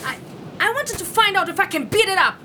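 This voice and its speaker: Actress as a feisty, high-voiced young woman. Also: lively intonation, fairly quick, sharp tone, a little hiss or hum, heavily acted. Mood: indignant. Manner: casual, blurting, hesitant starts. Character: feisty, blunt young human woman, impulsive and eager. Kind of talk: fantasy audio drama acting.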